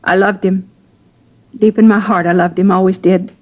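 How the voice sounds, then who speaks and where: A woman playing a defiant, sharp-tongued woman who killed the man she loved, in a 1950s radio drama. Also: mid-range voice, fairly even intonation, moderate pace, lightly acted. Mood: confessing. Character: bitter middle-aged woman, killer of jilted love. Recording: dull old radio broadcast.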